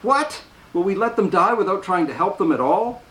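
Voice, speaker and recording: A man's voice: sits high, expressive, normal speed, voice pushed up. A man reading aloud and voicing a panicked, defiant young nun whose voice breaks with outrage, heard in a roomy space from a distant mic.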